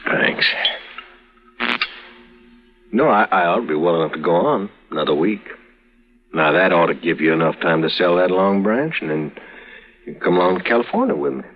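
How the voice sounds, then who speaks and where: An actor playing a smooth-talking, wounded drifter with an easy drawl, on a dull old radio recording. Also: male voice, deep voice, lively intonation, moderate pace, long pauses. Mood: easygoing.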